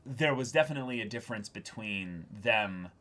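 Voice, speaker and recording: A man's voice: fairly low pitch, lively intonation, normal speed, bright, forceful tone. A man co-hosting a reaction show, talking earnestly and effusively in casual discussion, often repeating words as he searches for the next one.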